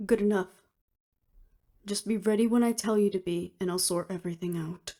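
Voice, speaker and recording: A woman's voice: mid-range voice, steady tone, moderate pace, dark tone, lightly acted. A woman playing a cool, determined woman official in an audio roleplay, with clipped, businesslike delivery. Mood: firm, resolute. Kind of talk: scripted audio roleplay acting.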